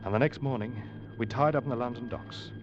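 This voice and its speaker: An actor playing a young ship's officer who narrates his tale in a measured, grave way, in 1940s radio drama style. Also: medium pitch, background noise, close to an everyday voice. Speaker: narrator and first mate Ned. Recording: dull old radio recording with music.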